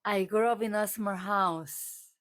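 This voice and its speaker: A woman hosting an English lesson, reading prompts quickly and repeating English sentences slowly and carefully. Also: mid-range voice, a bit bright. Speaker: host and English learner. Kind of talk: repeating practice sentences aloud.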